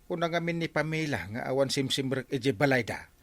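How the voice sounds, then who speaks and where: A man acting an excitable village man in an Ilocano radio comedy drama, with an Ilocano accent. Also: mid-range voice, a little fast, dry recording, natural voice. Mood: explaining.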